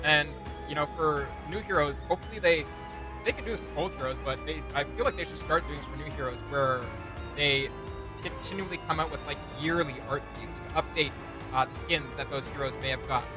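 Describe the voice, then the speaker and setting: A young man hosting a reaction video, chatting casually in an animated, rambling, slangy way. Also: on the high side, brisk, music in the background. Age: young adult. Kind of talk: casual enthusiastic reaction chat. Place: small indoor room with desk and shelves.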